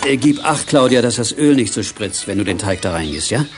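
An actor playing a sensible, cautious adult man in a German children's audio drama, speaking in a measured, slightly hesitant way. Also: lowish voice, animated, over music, lightly acted. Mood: calm, practical.

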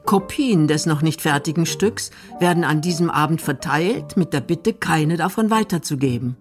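Casting: female radio documentary narrator, low register, measured delivery over clear background music.

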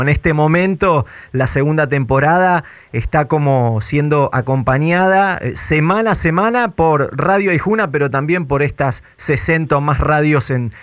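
A radio interviewer, a man with an Argentine accent, speaks conversationally. His voice is a little higher than average for a man. The sound is very dry, with no room echo. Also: smooth.

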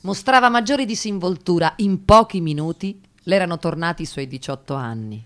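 An actress playing an observant interviewer who narrates encounters in a measured, literary, reflective way in a radio drama. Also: female voice, on the low side, animated, a bit bright. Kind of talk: scripted first-person narration in radio drama.

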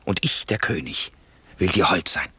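A very raspy, low-voiced royal conspirator. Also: male voice, moderate pace, sharp tone, no echo, heavily acted. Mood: resolute, greedy. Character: idle royal brother, doubtful, then greedy conspirator. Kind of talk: classic German radio play acting, verse drama.

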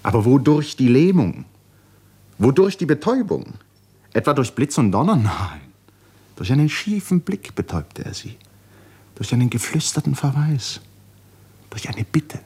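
A man narrating a literary 1950s radio play with dramatic, deliberate phrasing that often falls to hushed endings. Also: mid-range voice, very animated. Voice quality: breathy, husky.

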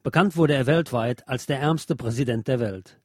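A man reads a prepared piece as a radio host. His voice sits at a middle pitch and his delivery is measured and deliberate. The sound is dry, with very little room around it. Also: a little mellow, no echo. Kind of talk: read-aloud scripted obituary narration.

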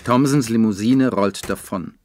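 A melancholy writer, acted by a man in a radio play, low in pitch and measured in delivery. He sounds brooding and self-doubting, over faint-to-clear background noise. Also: darkish tone, close to an everyday voice. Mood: resigned. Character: brooding, self-doubting male novelist looking back on his failures. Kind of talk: German radio drama monologue and dialogue.

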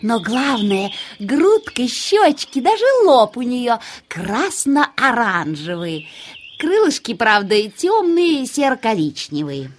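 Experienced female cuckoo, animated melody, bossy, knowing, gossipy neighbour, emphatic, children's audio play. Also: a little high, slow pace, heavily acted. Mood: explaining, emphatic.

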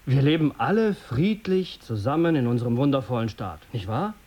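A man's voice: mid-range voice, animated, normal speed, darkish tone, close to an everyday voice. A condescending middle-aged editor acted by a man in a radio drama. He lectures like someone in charge, pompous and sarcastic, with little patience for whoever is in front of him. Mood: smug, sardonic. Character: condescending, self-important male radio editor and family patriarch. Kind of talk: German radio drama acting.

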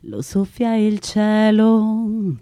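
A woman performing a theatrical, melancholy love song with slow, drawn-out phrasing. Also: mid-range voice, animated, mellow, no echo.

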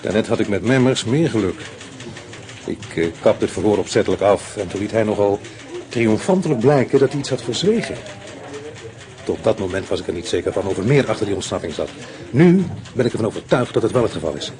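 An actor playing a shrewd, calm male police inspector who questions in a probing, measured, dry way, in a radio play. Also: on the low side, a crowd in the background.

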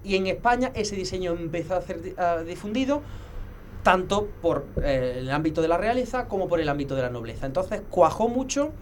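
A man, a guest expert explaining history in casual conversation, lecturing with many pauses and restarts. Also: high-pitched, animated, slight background noise.

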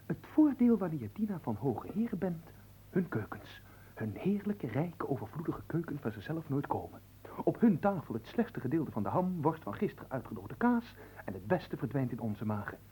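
A man's voice: fairly high pitch, big pitch swings, normal speed, mellow, slight background noise, poor audio. A man playing a cheeky, gluttonous manservant in a radio play, glib and playful, flirting and talking between mouthfuls. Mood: gleeful, scheming. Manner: glib, playful, talks while eating and humming. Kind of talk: theatrical radio play acting.

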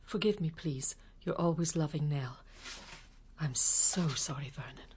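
An actress playing a devoted singer, tender but sharp-tongued when hurt, in a radio drama. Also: female voice, low-pitched, measured pace, slightly rough, full character voice. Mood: tender, sorrowful. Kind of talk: broadcast radio drama acting.

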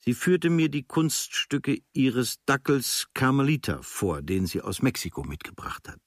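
A man reading a literary travel diary in a measured, observational way, with clipped phrases. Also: mid-range voice, big pitch swings, dry recording, studio quality. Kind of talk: scripted literary diary narration.

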